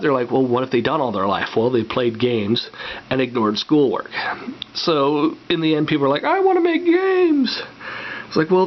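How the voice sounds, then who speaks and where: A man hosting a game coding channel, stuffed up from a cold, talking in a meandering casual way with many fillers and restarts. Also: mid-range voice. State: congested from a cold. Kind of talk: casual rambling vlog talk. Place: home room, on camera. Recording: slightly dull recording with faint hiss.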